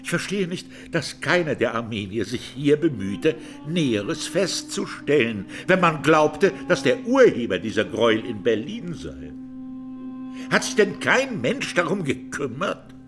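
Sceptical, animated courtroom examiner. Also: male voice, mid-range voice, a little slow, over music. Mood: sceptical, insistent. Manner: pointed, incredulous, rhetorical. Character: sharp, sceptical courtroom examiner in a 1920s trial. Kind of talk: German radio drama, cross-examination.

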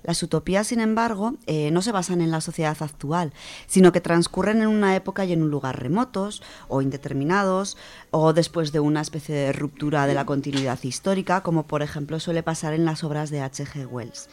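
Low-voiced woman explaining on radio. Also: brisk, a little mellow. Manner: thoughtful, with frequent hesitations and restarts. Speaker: radio host presenting a book segment.

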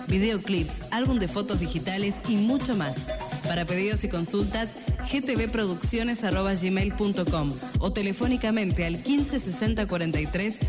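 Female ad announcer, medium pitch, scripted radio commercial, loud music behind. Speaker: advertisement announcer.

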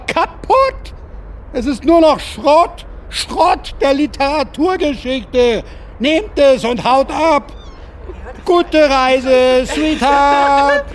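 An actor playing an exasperated, theatrical male writer in a German radio drama, volatile and swinging between muttering and shouting. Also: extremely high pitch, slight background noise, heavily acted. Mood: furious, defiant.

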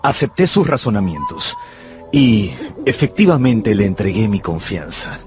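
An actor playing an obsessive, penniless young gambler who narrates a radio drama in a brooding, confessional manner. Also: male voice, lowish voice, big pitch swings, measured pace, over music. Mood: wistful confession.

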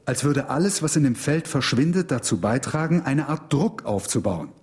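An actor playing a thoughtful, concerned scientist in a radio drama, earnest and precise in speech. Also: male voice, mid-range voice, animated, normal speed, a little mellow, natural voice. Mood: puzzled, concerned.

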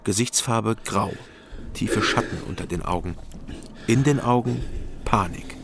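A man's voice: fairly low pitch, a little slow, vocal fry, heavy background noise. A man in his thirties narrating a crime radio drama in the first person, dry and reflective. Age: 30s. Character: former-drunk male detective narrator, world-weary.

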